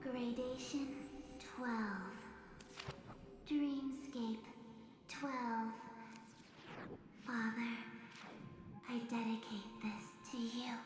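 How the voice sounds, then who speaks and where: An actress playing a solemn, devoted warrior daughter in a video game, halting and ceremonial. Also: female voice, a little high, steady tone. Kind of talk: scripted video game acting. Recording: heavy echo, music behind.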